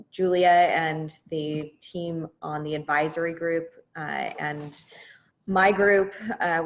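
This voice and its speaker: A woman with a notably deep voice gives staff announcements on a conference call. She words things carefully and reassuringly, in a semi-formal register. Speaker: association staff member giving updates. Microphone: conference call line.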